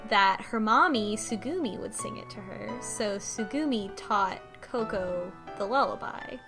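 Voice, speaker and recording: A woman's voice: medium pitch, lively intonation, a little slow, over music. A woman in casual podcast conversation, recapping a story as a panelist and acting out the lines as she goes.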